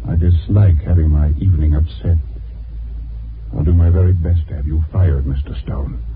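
An actor playing a haughty, cold, rich lawyer husband in a 1950s radio drama, stiff and formal. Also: male voice, rumbling low, steady tone, normal speed, over loud music. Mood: cold, indignant. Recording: old 1950s radio broadcast, tinny band-limited.

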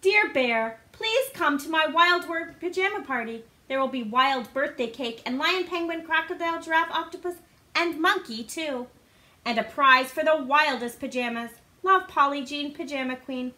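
A woman reading a storybook aloud to young children in an animated, sing-song way, often putting questions to the listeners. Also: sits high, moderate pace. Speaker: children's read-aloud presenter. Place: living room indoors. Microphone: device camera a short distance away.

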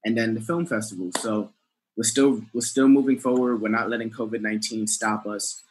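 Upbeat lower-voiced man on headset. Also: steady tone, fairly quick, darkish tone, husky, low-quality recording. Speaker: guest filmmaker. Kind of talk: casual video call interview. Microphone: headset on video call.

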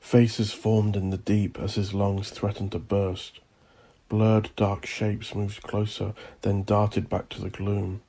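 A man narrates dark fiction in a dramatic, theatrical style. His voice is dark and gravelly, rising and falling very widely with an intense, husky delivery. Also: on the low side, level tone, slow. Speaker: audiobook narrator. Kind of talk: dramatic scripted horror narration.

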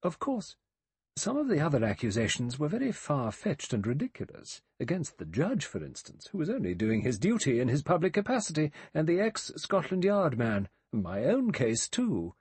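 Man voicing a prim, high-pitched elderly English spinster. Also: wildly swooping pitch, moderate pace, a little mellow, slightly rough, no room sound, full character voice. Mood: serene. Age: older. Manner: precise, dry, sanctimonious.

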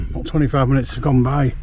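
A man's voice: medium pitch, brisk, warm tone, a little hiss or hum. A middle-aged man gives a rambling, casual fishing update to camera, outdoors at night. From England. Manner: rambling, chatty, with many fillers. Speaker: fishing vlogger.

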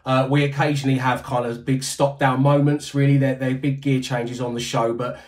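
A man's voice: medium pitch, level tone, a little fast. Rambling British man on a headset call. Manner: rambling, self-deprecating, many restarts. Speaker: radio breakfast show host. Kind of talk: casual interview chat. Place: home room. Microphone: headset on video call.

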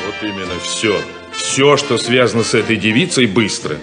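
An actor playing a bossy, sarcastic elder brother in a 1970s radio play, scolding and insistent. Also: male voice, fairly low pitch, normal speed, music in the background, close to an everyday voice. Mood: reproachful.

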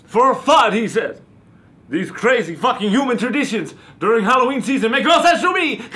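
A man's voice: sits high, pushed and bright, slight background noise, exaggerated voice. A man playing a cranky, theatrical ancient vampire host with a put-on Transylvanian accent, ranting and swearing comically in character. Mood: grumbling. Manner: hammy, ranting, profane.

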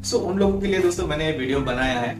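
A young adult man gives a step-by-step tutorial in a chatty way, addressing his viewers as friends. His voice is medium to slightly high for a man, and music plays behind him. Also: racing. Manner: repeatedly addresses viewers as friends, mixes in English words. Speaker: tech tutorial YouTube host. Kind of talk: tutorial presentation to camera and screen walkthrough. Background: background music.

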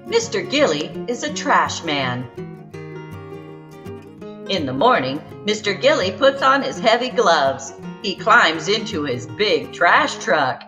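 A woman reading a picture book aloud to young children as a storyteller, with expressive, exaggerated emphasis and music behind her. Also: medium pitch, slow. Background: background music.

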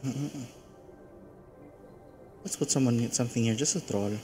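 A man's voice: mid-range voice, measured pace, over music. A man from the Philippines with a Filipino accent, chatting casually and thinking aloud as he streams a game. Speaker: live streamer.

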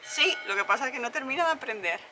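A woman's voice: high voice, animated, quick pace, a loud crowd. A woman from Spain chatting casually on a vlog as she works on her sailboat, rambling and talking to her dog. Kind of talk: casual vlog chat to camera. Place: aboard a moored sailboat.

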